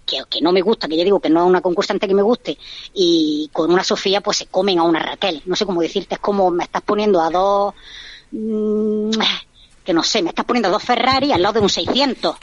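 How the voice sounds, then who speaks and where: A woman's voice: mid-range voice, fairly quick, no room sound, lo-fi sound. A woman giving casual, opinionated commentary as a radio guest, talkative and blunt, often repeating herself, over a remote call. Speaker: radio guest, reality show fan commentator.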